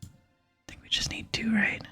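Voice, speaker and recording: Woman, whispered ASMR gaming narration, crisp bright sibilance, close mic, soft unhurried delivery, music behind. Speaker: gaming video host. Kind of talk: whispered ASMR game playthrough with reading aloud. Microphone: close microphone.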